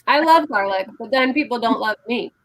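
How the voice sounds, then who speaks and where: A woman co-hosting a live crochet show over a video call, chatting in an unhurried, giggly way and greeting viewers. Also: a little high, expressive, a bit bright, no echo. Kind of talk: casual chat on a livestream.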